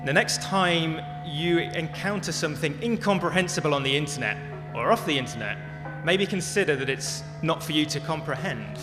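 A man giving a prepared public talk as a speaker, telling stories in a measured, dramatic way. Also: a little high, animated. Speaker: conference speaker. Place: large hall, talk to an audience. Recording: echoey hall with music behind.

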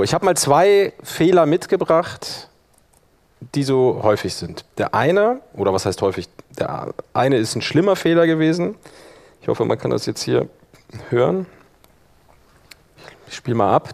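A man's voice: mid-range voice, a little mellow. A man presenting an informal live tutorial, conversational and often checking in with his listeners. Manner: loose, conversational, addresses listeners with questions. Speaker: workshop presenter.

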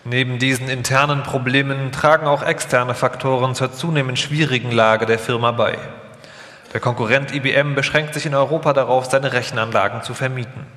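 A man's voice: mid-range voice, steady tone, brisk, fairly bright tone. A man reading scripted documentary narration live, in a formal, factual manner. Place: large hall, live stage reading. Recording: echoey hall, long reverb.

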